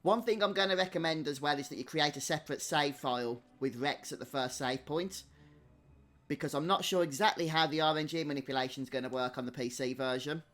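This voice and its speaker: A man's voice: a little high, fairly quick, a bit bright. Chatty male streamer with lightish voice. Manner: chatty, addresses viewers. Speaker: game streamer. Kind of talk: casual live gameplay commentary. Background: game music.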